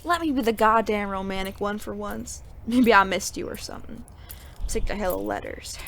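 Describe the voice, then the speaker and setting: Woman acting gravelly, wry Old West gunslinger. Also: mid-range voice, big pitch swings, moderate pace, fairly bright tone. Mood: fond, wry. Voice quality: gravelly creak. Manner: drawling frontier slang, wry. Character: weary, honorable, teasing gunslinging ex-sheriff of the Old West. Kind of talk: audio drama western dialogue.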